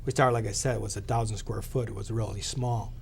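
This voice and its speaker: A man's voice: on the low side, fairly even intonation, fast, a little mellow, slight background noise. A man in his late forties, born in Italy, talking as a deli owner in a local interview, plain-spoken with many fillers. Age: 40s.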